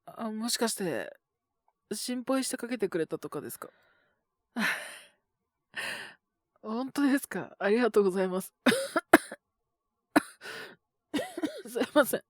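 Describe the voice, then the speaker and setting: A man's voice: very high voice, wildly swooping pitch, slow pace, long pauses, no room sound, clean studio sound, exaggerated voice. A man acting an earnest, polite young junior coworker who is sick with a cold, coughing and sighing in an intimate Japanese voice drama. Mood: polite, grateful. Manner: polite and deferential on the phone, sighing, murmuring to himself.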